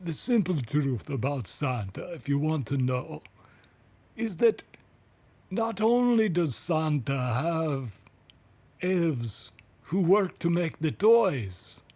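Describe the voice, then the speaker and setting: A man playing an ancient, jolly, chatty Santa Claus with a German accent, explaining things in a halting, slightly fussy way. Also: fairly high pitch, lively intonation, warm tone, husky, heavily acted. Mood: amused, explanatory. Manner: halting, explanatory, stumbling over words. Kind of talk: improvised comic character interview.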